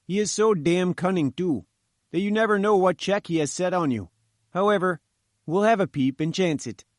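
A man's voice: high voice, moderate pace, no room sound, clean studio sound, voice pushed up, lightly acted. A man voicing a gloomy, nervous chief engineer in an audiobook story, with an uneasy, emphatic manner. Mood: wary. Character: nervous, lugubrious chief engineer, wary and stubborn. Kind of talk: audiobook character dialogue.

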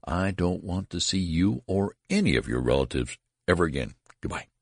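Man acting a stern, gravelly old doctor. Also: sits low, lively intonation, normal speed, no room sound, clean studio sound, full character voice. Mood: cutting anger. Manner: curt, severe. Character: cutting, offended veteran family doctor, older man.

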